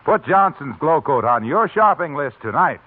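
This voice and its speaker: A man's voice: on the high side, very animated, normal speed, heavy background noise. A man announcing in 1940s radio style, with chatty, persuasive salesmanship. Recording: narrow-band vintage radio recording.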